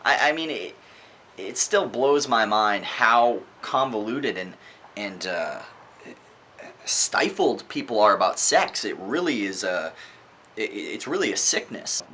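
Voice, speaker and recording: Bright, forceful man in a casual vlog. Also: fairly low pitch, a little hiss or hum. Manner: emphatic, repeats words for effect, swears freely. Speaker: vlog host reviewing books. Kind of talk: casual opinionated video monologue.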